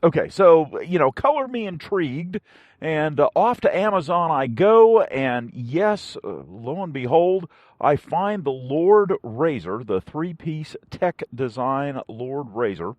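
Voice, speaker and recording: A man hosting a podcast, rambling casually through a product review in a folksy, halting way with frequent pauses mid-thought. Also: a little high, no room sound. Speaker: podcast host.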